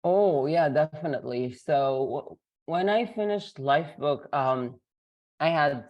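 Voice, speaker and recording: A woman's voice: sits low, lively intonation, slow, lo-fi sound. A woman from Lebanon, a guest on a video call interview, talking casually and hesitantly with many fillers while sick. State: sick, voice affected.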